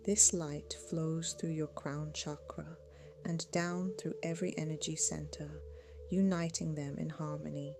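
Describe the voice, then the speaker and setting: A woman leads a guided meditation in a lowish voice with a pronounced creak. Her delivery is measured, soothing and hypnotic, softening into a hush as phrases end. Music plays clearly behind her.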